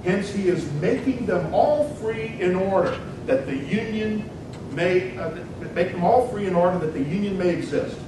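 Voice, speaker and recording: A man's voice: animated, normal speed. A man playing an impassioned 19th-century American senator, declaiming emphatic political oratory and rhetorical questions in a dramatic reading. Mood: firm, accusing. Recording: very echoey hall, distant mic, loud rumbling noise.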